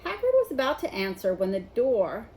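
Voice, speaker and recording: Animated woman reading a story aloud. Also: a little high, moderate pace, a little hiss or hum. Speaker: storybook reader. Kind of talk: expressive read-aloud narration.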